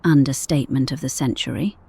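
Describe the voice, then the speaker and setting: A woman playing a brisk medic team leader in an audio drama, firm and dry-witted. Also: very deep voice, gravelly, darkish tone, slightly rough, no echo, heavily acted.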